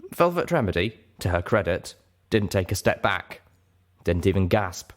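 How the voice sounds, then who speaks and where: A man reading fiction aloud as a dramatic first-person narrator, measured and expressive. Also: low voice. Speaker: audiobook narrator.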